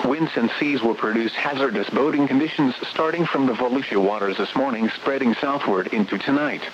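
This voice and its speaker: A dull, hissy radio signal carries an automated male weather announcer with a mid-pitched voice. He reads bulletins formally and impersonally, in a measured synthetic delivery. Steady noise sits clearly behind every phrase.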